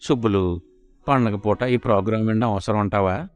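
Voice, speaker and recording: A man's voice: fairly low pitch, moderate pace, pitched below the performer's own voice, close to an everyday voice. A man voicing a long-suffering husband who mutters and grumbles sarcastically. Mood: weary.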